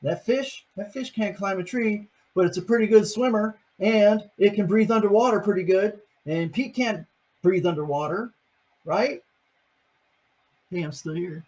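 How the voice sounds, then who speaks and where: A man's voice: high-pitched, unhurried, a little mellow, poor audio. An older man, a grandfather, reading a picture book aloud to his grandchildren and chatting about each page. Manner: chatty, explains each page in plain words.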